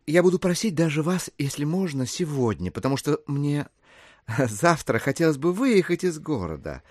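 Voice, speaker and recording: An actor playing a polite, ingratiating, self-important gentleman schemer, hesitant and pompous, in an audio drama. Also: a little high, very animated, moderate pace, no echo, studio quality. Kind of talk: theatrical audio drama acting. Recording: music behind the voice.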